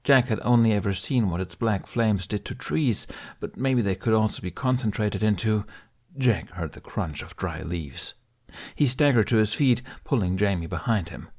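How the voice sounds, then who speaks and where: A man reading a fan fiction aloud as an audiobook, in soft, measured storytelling. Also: fairly low pitch, expressive. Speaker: podfic reader.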